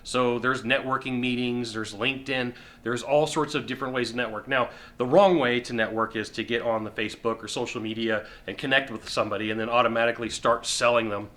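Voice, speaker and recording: A middle-aged man giving sales advice straight to the camera from a sofa indoors, emphatic and repetitive, with quick acted-out asides. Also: mid-range voice, fairly even intonation, fairly bright tone. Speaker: sales coach. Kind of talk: direct-to-camera advice talk. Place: indoor living room on a sofa.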